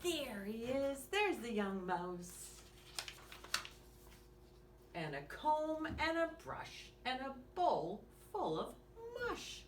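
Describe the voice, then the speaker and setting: A woman presents a children's storytime in a sing-song, lively voice that rises and falls widely. She takes her time and speaks straight to young listeners. The recording is roomy and echoey, picked up some way from the mic. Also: on the high side, drawn-out words. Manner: sing-song, simple questions addressed to small children. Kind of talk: read-aloud storytime and craft lesson for young children. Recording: echoey room, distant mic, faint noise.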